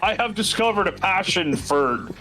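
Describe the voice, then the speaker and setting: A man's voice: sits high, lively intonation, normal speed, bright, forceful tone, low-quality recording. A man playing at a tabletop game, hesitant and wry. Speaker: player. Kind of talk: casual play at the table.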